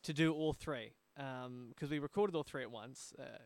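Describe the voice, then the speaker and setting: A man hosting a conversational interview, speaking in a halting way and repeating words as he goes. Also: mid-range voice. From Australia. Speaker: podcast host, audio drama producer.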